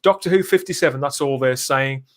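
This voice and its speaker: A man's voice: medium pitch, brisk, heavy background noise. A man hosting a casual live podcast, chatting through news and comments with frequent rhetorical tag questions. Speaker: podcast host.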